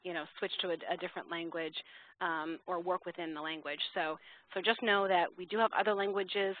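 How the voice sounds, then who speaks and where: A woman's voice: lowish voice, fairly even intonation, a little fast. A woman presenting a webinar in an informal, explanatory way, thinking aloud as she takes questions. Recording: tinny, dry phone-line audio.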